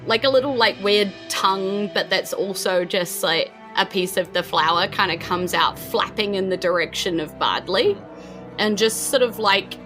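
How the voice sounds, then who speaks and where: A woman's voice: mid-range voice, normal speed, music in the background. A woman with a New Zealand accent, narrating a tabletop game as game master, describing scenes while searching for words.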